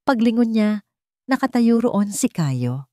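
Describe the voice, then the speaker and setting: A woman's voice: fairly high pitch, sing-song, slow, mellow, no room sound, studio quality. A woman giving a scripted, dramatic narration of a sentimental love story.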